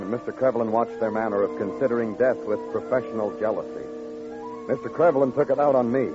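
Terse, world-weary male detective, acted by a man, low pitched, dry and clipped, vintage radio crime show, muffled sound. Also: close to an everyday voice. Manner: clipped, dry, literary narration. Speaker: narrator and lead actor. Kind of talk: old-time radio detective drama. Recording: muffled, noisy old radio recording.

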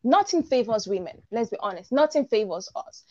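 A woman's voice: on the high side, big pitch swings, brisk, darkish tone. A woman, speaking as a panelist in a live online discussion. She is direct and opinionated and says her piece plainly. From Nigeria. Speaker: guest panelist. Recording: dull lo-fi stream audio.

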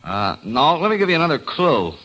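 A man's voice: fairly high pitch, big pitch swings, brisk, fairly bright tone, poor audio. A man presenting a scripted travel quiz as a guest quizmaster on an old radio broadcast.

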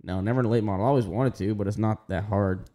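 A man's voice: on the low side, fast talker, warm tone. A young man co-hosting a podcast, chatting casually and rambling with a laid-back manner. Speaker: podcast co-host. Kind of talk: casual chat.

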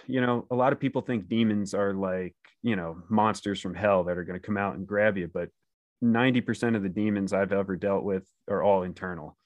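A man's voice: lowish voice, fairly even intonation, a little fast, dry recording, dull audio. A man hosting a casual conversation in a laid-back, slangy way, often saying dude and you know. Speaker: podcast host, interviewer. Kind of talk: casual chat.

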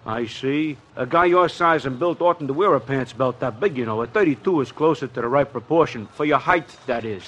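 A man's voice: mid-range voice, brisk, few pauses, fairly bright tone, slightly rough, muffled recording. An actor playing a slangy, coaxing American department-store salesman in a 1950s radio comedy, punctuating the patter with uhs. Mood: coaxing, confidential.

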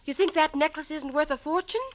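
A woman's voice: high voice, brisk, close to an everyday voice. A woman acting in a 1940s-style radio crime drama as a shrewd, covetous wife with an insistent, probing manner. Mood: intrigued, covetous. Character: shrewd, sharp-questioning wife of a killer, covetous.

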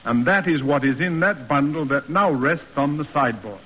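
An actor playing a stiff, proper middle-aged father in a classic radio drama, speaking in measured, roundabout formal sentences. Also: fairly high pitch, animated, fairly bright tone, breathy edge. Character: stiff, proper, reserved father in period New England. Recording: old radio recording, reverberant, music behind.

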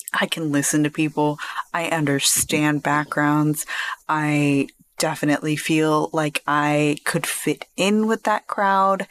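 Woman podcaster, low pitch, relaxed and self-assured, casual chat. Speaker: podcast co-host.

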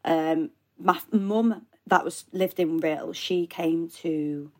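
A woman's voice: on the low side, slow pace, a little mellow. A young adult woman from Wales, a guest actor on a podcast, talking casually from a holiday lodge over a remote call, laughing often and trailing off into fillers.

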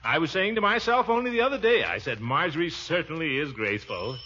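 An actor playing a pompous, big-hearted middle-aged uncle in a blustery, theatrical 1940s radio comedy style. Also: male voice, a little high, sing-song, fast talker, sharp tone, slight background noise. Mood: soothing. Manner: blustery, theatrical, swooping delivery. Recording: muffled old radio broadcast.

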